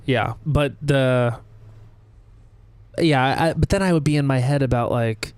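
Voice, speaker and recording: A playful, theatrical man chatting as a podcast guest. His voice is smooth and resonant, at a middle pitch, and recorded dry and close. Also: unhurried, a little mellow, dry recording. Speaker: guest, comedian. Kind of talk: casual chat.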